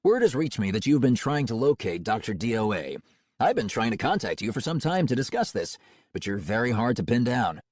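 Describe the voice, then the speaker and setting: A man reading an audiobook, voicing a mysterious man who sounds professionally warm and confident, like a cold-calling salesman. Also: mid-range voice, sing-song, brisk, no echo, clean studio sound. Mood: smooth.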